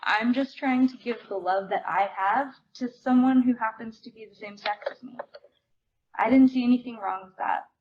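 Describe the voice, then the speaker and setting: A woman giving an expressive, emphatic dramatic reading of book excerpts at a live event. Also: on the high side, normal speed. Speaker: theater reader. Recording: dull recording with clear background noise.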